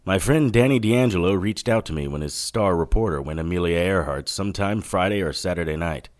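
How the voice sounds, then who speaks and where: An actor playing a wry, hardboiled 1940s private detective, narrating in dry, clipped noir style. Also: male voice, sits low, fairly quick, natural voice. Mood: dry narration. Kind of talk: noir first-person scripted narration.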